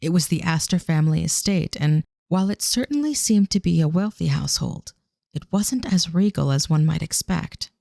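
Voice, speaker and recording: A woman's voice: low voice, expressive, moderate pace, creaky, dark tone, dry recording, clean studio sound. A woman narrating a scripted audio drama as a storyteller.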